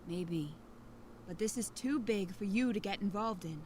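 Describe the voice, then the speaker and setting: A woman's voice: medium pitch, a little slow, darkish tone, a little hiss or hum, close to an everyday voice. Woman playing a firm, confident female politician. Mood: assured. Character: stern, confident female politician with veto power. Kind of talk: visual novel voice acting.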